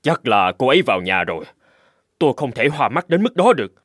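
An actor playing an anxious, kindly middle-aged homeowner in a cartoon ghost story, given to dramatic talking to himself. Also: male voice, medium pitch, fairly quick, husky, no echo, studio quality. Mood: puzzled musing. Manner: expressive, dramatic, muttering to himself. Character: anxious, kindly older male homeowner, newly moved in.

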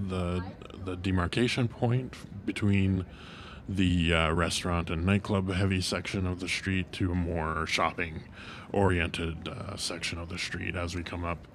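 A man narrating a casual walking tour of a city street outdoors, halting with many fillers and restarts. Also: low-pitched. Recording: noisy street traffic behind.

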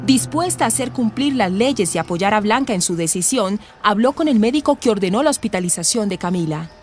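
Colombian lady narrator for a radio soap, mid pitch, dramatic read with music behind. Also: expressive, brisk.

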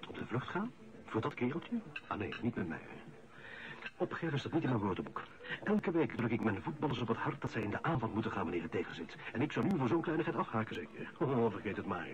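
An actor playing a resentful, stubborn office inspector in a radio play. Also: male voice, mid-range voice, very animated, quick pace, lightly acted. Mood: defiant. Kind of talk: radio play acting, conversational. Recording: dull vintage radio play recording.

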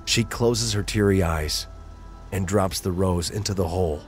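A man's voice: deep voice, measured pace, faint music behind. A man giving scripted, dramatic narration as the narrator of an audio drama.

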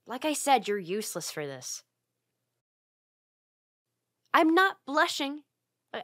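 A flustered tsundere girl roommate played by a woman in an ASMR roleplay, with a medium-pitched voice and an unhurried pace. She stammers and gets huffy and defensive, barely hiding that she is fond of her listener. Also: fairly bright tone, no echo, studio quality. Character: flustered tsundere female roommate, easily embarrassed, secretly fond.

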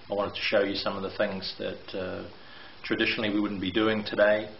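Middle-aged man touring an echoey basement. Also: on the low side. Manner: explanatory, hesitant with fillers. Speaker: renovation contractor. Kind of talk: walkthrough video tour. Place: bare brick basement, indoors. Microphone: handheld camera mic. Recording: echoey, dull lo-fi with faint hiss.

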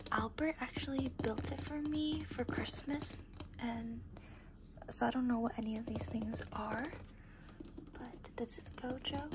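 A young woman losing her voice, whispering raspily in a casual, rambling ASMR desk tour, with lots of fillers. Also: a little high, heavy background noise. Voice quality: raspy. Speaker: ASMR creator.

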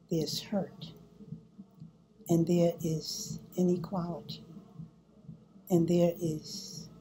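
An older woman giving a sermon from her home, speaking slowly and deliberately with frequent pauses and fillers, recorded on a close webcam. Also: fairly low pitch, dark tone, slight background noise, low-quality recording. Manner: slow and deliberate, pausing often with fillers. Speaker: church speaker. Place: home room, indoors. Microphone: webcam at close range.

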